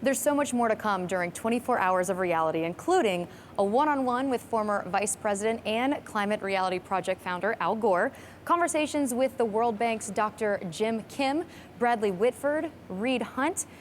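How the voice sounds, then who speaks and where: A woman's voice: fairly high pitch, lively intonation, normal speed. A woman hosting a program, presenting scripted segments to viewers. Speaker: program host. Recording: clear background noise.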